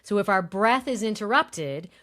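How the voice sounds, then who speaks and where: A woman voice coach explaining in a relaxed interview, her voice on the higher side, and she often demonstrates tones with it. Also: normal speed. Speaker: voice coach guest. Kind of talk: conversational interview, demonstrating voice techniques.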